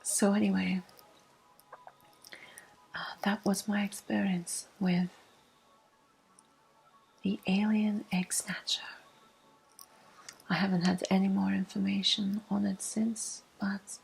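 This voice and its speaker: Slow, hushed woman with a soft crackle. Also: medium pitch, flat delivery. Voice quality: soft, breathy, often half-whispered. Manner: gentle, unhurried, pausing between short phrases. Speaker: ASMR video host. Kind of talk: soft-spoken ASMR, personal storytelling.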